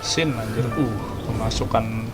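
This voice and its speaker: An Indonesian man giving slangy, joking game commentary as a streamer, with loud game audio behind him. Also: lowish voice, normal speed, loud music behind the voice. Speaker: gaming streamer.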